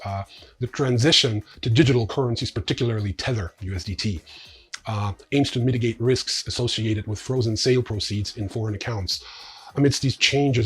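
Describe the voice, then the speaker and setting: A man with a fairly low, noticeably husky voice presents the news as a show host. He reads from a script and drops in dry, offhand asides in a sardonic way.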